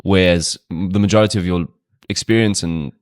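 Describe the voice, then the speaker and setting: A man from South Africa hosts a podcast in a casual, encouraging way. His voice is very low. The recording is dry, with no room sound. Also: fairly even intonation, normal speed, no room sound. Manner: easygoing, encouraging, lots of agreeing murmurs. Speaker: podcast host.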